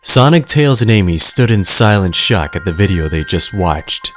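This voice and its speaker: A man's voice: sits low, animated, normal speed, fairly bright tone, a little hiss or hum. A man narrating a scripted audio drama in a dramatic storytelling manner.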